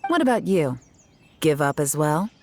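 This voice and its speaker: A woman's voice: low voice, big pitch swings, slight background noise. An actress playing a self-assured, teasing woman investigator in a video game, speaking in a composed, wry manner. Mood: probing. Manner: composed, wry, measured storytelling. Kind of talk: video game character dialogue. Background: music.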